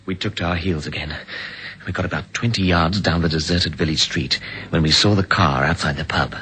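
An actor playing an urgent, exasperated man trying to stop a bomb plot, switching between brisk dialogue and grave first-person narration, in a 1950s radio drama. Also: sits low, sharp tone, husky, full character voice, poor audio. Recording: hissy old radio broadcast.

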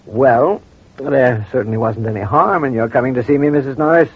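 An actor playing a courteous, probing crime doctor in an old-time radio drama, speaking in a measured, formal, reassuring way. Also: male voice, medium pitch, breathy edge, dry recording. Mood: calm, concerned. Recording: muffled vintage radio recording.

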